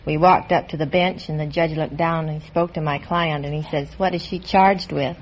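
A woman's voice: sits low, steady tone, normal speed. An American woman in her thirties answering as a contestant in a composed, conversational way. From United States. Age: 30s. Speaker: contestant, lawyer. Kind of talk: interview answers and anecdote. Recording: muffled old radio recording, audience noise. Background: studio audience.

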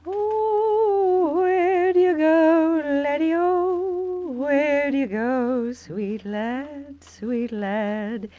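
Wistful, very slow high-voiced singer. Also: female voice, mellow, faint music behind. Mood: wistful singing. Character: melancholy, flirtatious Victorian London streetwalker singing softly. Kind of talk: slow sung ballad in radio drama. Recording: dull old radio recording.

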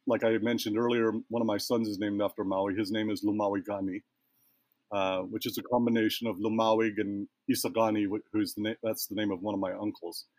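A man's voice: on the low side, darkish tone, dry recording. A man speaking as an interview guest, telling a long personal story in a thoughtful, meandering way that trails off and restarts. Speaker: guest, ritual tattoo practitioner. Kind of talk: storytelling in a conversation.

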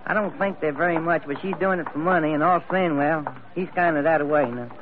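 An actor playing a folksy, excitable sidekick with a Southern US accent and a colloquial drawl, in old-time radio drama style. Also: male voice, a little high, a little fast, lightly acted. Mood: gossipy, smitten. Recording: tinny, noisy old radio recording.

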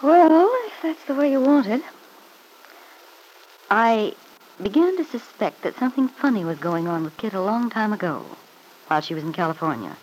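An actress as a sly, spiteful radio-serial mother-in-law, expressively animated. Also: medium pitch, normal speed, long pauses, natural voice. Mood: insinuating, confiding. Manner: insinuating, self-justifying. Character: sly, spiteful middle-aged mother-in-law, self-protective and indignant. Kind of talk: old-time radio serial acting. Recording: noisy old radio broadcast recording.

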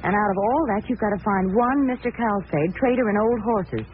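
A woman acting as a poised, caring young society lady. Her speech is polished and articulate in the vintage radio manner, on a muffled recording with clear background noise. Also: medium pitch, lively intonation, moderate pace, natural voice. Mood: wry.

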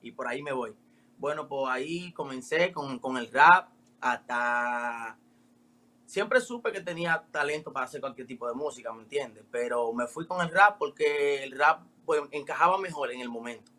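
A man in his twenties from the Dominican Republic with a Dominican accent, a rapper answering interview questions in relaxed slang. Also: mid-range voice, moderate pace. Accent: Dominican Spanish. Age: 20s. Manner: relaxed, street slang with frequent "me entiendes" tags. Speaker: rapper guest.

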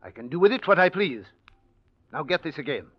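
An actor playing a stern, controlling, wealthy old uncle in 1940s radio drama, imperious and deliberate. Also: male voice, on the high side, sing-song. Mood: firm, businesslike. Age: older. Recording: dull vintage radio recording.

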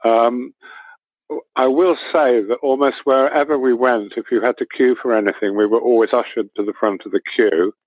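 A man's voice: mid-range voice, fairly even intonation, normal speed, dry recording. Man in his sixties on a tinny line. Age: 60s. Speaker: interview guest. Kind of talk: recalling a school trip in conversation. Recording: tinny phone-line sound.

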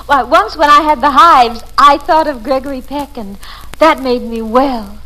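High, bright, flirty radio secretary. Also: female voice, measured pace, rough recording. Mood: gushing. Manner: coy, teasing, gushing. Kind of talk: 1940s radio comedy acting.

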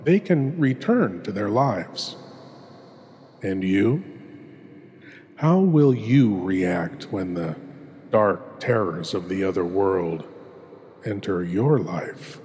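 A man hosting a horror show in a theatrical scripted style, ominous and full of dramatic pauses. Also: deep voice, lively intonation, a little mellow, long reverb tail.

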